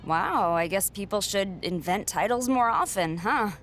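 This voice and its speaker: A woman's voice: mid-range voice, lively intonation, unhurried, fairly bright tone, slight background noise. An actress playing a confident, sassy young woman wrestler in a video game cutscene, cocky and blunt. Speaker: wrestler character.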